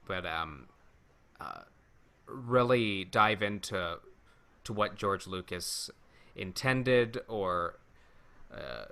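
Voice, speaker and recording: A man co-hosting a casual podcast discussion, talking in a measured, thoughtful way with hesitant phrasing. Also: deep voice, pushed and bright. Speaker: podcast co-host.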